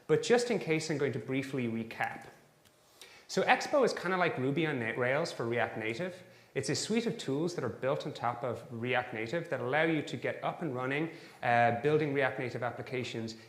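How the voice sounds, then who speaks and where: An Irish man in his thirties speaks at a conference with a mid-pitched voice and an upbeat, explanatory manner. He drops in filler words between phrases. The sound is echoey, as in a conference hall. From Ireland. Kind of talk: tech conference talk.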